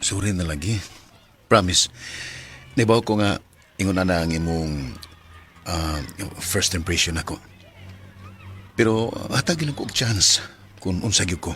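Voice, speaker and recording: A man's voice: deep voice, animated, a little slow, long pauses, a bit bright, slight background noise. An actor playing an earnest, apologetic man pleading for trust in a radio drama, with a Cebuano Filipino accent. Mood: apologetic, eager.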